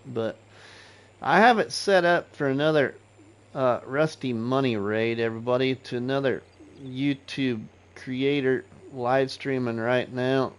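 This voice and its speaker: A man hosting a casual gaming livestream, chatting to viewers in a relaxed, rambling way with fillers. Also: mid-range voice. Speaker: livestream host.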